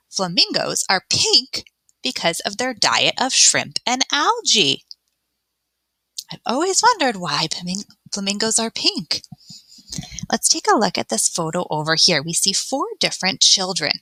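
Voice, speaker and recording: A woman teaching young children through a read-aloud science lesson. Her voice is bright and sits at a medium pitch, with very lively rises and falls. She speaks in an instructional way, addressing her pupils directly. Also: dry recording, rough recording. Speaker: elementary teacher.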